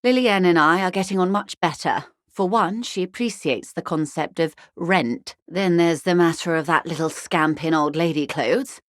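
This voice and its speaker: An actress voicing a polished, wry, middle-aged upper-class corporate negotiator in a video game, speaking in a composed, formal, diplomatic way. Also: female voice, fairly low pitch, normal speed, few pauses, dry recording, clean studio sound, lightly acted. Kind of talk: video game character dialogue.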